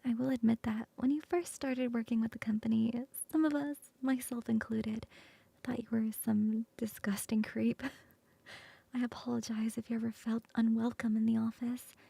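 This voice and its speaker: A woman voicing a flirty female coworker in an ASMR roleplay, close to the mic in a soft, playful whisper. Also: on the high side, steady tone, normal speed, vocal fry, husky, no echo. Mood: teasing whisper.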